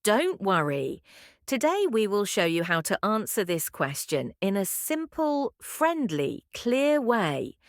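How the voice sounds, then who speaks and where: A woman co-hosting a podcast English lesson, speaking in a slow, clear, friendly teaching manner. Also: mid-range voice, big pitch swings, no room sound, studio quality. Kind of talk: scripted English lesson dialogue.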